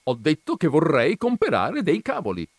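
A man voicing a cranky, stubborn gentleman who argues loudly and loses his patience easily. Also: fairly high pitch, huge pitch swings, moderate pace, slightly rough, no echo, clean studio sound, pitched above the performer's own voice, heavily acted. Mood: exasperated. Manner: loud, indignant, argumentative.

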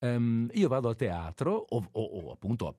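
A man hosting a casual call-in radio show, speaking in a halting, reflective way. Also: mid-range voice, expressive, dark tone, no echo, clean studio sound. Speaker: radio host.

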